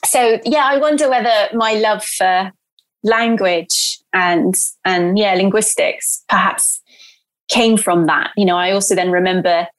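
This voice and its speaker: A woman's voice: medium pitch, measured pace. A woman from Brazil who grew up in England, telling her own story as an interview guest in a chatty, reflective way. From born in Brazil, grew up in England from age eight. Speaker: podcast guest, journalist and writer. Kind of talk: casual personal storytelling in an interview.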